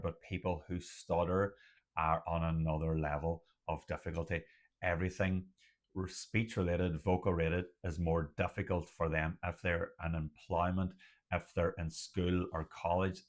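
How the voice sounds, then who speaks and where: A young man, a doctor who stutters, talking to camera about his own stuttering in a measured, deliberate way with occasional stutters. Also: low-pitched. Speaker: doctor and community host. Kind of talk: personal talk to camera.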